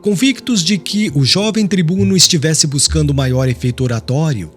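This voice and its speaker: A man with a Brazilian accent narrating a literary audiobook in an expressive, scripted style. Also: fairly high pitch, moderate pace. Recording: music bed under the voice.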